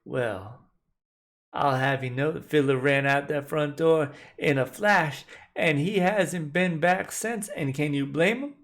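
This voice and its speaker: A man with a creaky, fairly high voice tells a folk tale. His delivery is folksy and colloquial, the manner of a storytelling host. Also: slow pace, a bit bright. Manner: folksy, colloquial Southern-style phrasing. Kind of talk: animated folk-tale narration.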